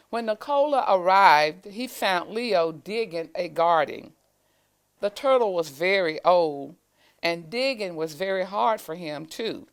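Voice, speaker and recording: A woman reading a children's storybook aloud in a deliberate, expressive storytelling manner. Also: medium pitch. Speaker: reader, school staff member.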